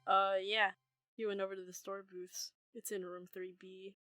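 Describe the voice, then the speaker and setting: A woman's voice: mid-range voice, level tone, moderate pace, pushed and bright, no room sound, close to an everyday voice. A woman playing an awestruck young convention-goer in a fan comic dub. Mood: dazed admiration. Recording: music behind the voice.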